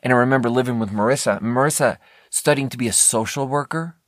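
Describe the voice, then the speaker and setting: A man's voice: mid-range voice, fast, dry recording, clean studio sound. An American man hosting a podcast alone, rambling casually and candidly in a conversational way, often interrupting himself. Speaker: podcast host. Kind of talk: casual rambling solo talk.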